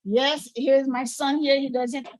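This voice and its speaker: A woman from Haiti speaking in an excited, informal way as a participant in an online meeting. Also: high voice, measured pace, no room sound.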